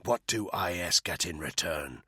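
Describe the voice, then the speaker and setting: A man playing a hard-bitten male soldier who gives low, firm orders. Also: lively intonation, unhurried, pushed and bright, husky, dry recording, studio quality, full character voice. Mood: grim, commanding.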